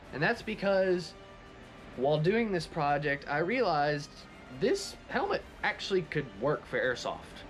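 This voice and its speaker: A man with a fairly high voice chats through a hobby project in an enthusiastic, conversational way. He sounds like a casual vlogger showing his work, with music playing faintly behind him. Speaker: hobbyist craft video presenter. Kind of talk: casual project recap vlog.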